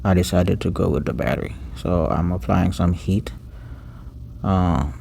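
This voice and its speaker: A man giving an unscripted step-by-step repair tutorial, pausing mid-sentence and repeating words as he goes. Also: sits low, fairly even intonation, gravelly, warm tone, slight background noise.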